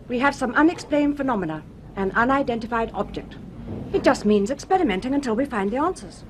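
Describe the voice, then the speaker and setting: A woman's voice: a little high, lively intonation, brisk, close to an everyday voice. A woman playing a sensible, inquisitive young student in a radio drama, speaking in an earnest, questioning manner. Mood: practical, earnest. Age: young adult. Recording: noisy radio drama recording.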